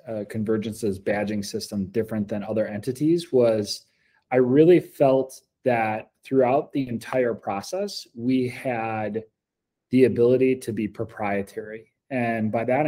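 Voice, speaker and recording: An American man in his forties giving earnest, measured testimonial answers as a school coordinator, on a video call from an office meeting room. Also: mid-range voice, dark tone. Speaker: school district program coordinator. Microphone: laptop video call.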